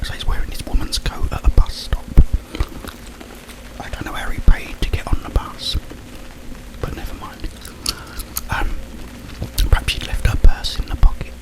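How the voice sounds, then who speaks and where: A man's voice: normal speed, pauses often, poor audio. Whispering man, ASMR ramble. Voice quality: breathy unvoiced whisper. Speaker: ASMR video creator and host. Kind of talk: whispered ASMR ramble retelling a film plot.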